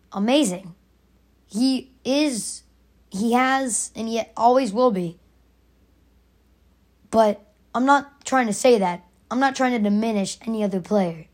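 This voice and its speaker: A raspy young woman hosting her own podcast, arguing her opinion emphatically and repeating her points. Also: fairly high pitch, a little mellow. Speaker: podcast host. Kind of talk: opinionated solo podcast rant.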